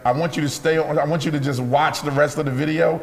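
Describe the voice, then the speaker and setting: A man co-hosting a live morning talk show, loud and upbeat, often repeating himself and laughing. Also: on the high side, fairly even intonation, fast talker, echoey room, in a large hall.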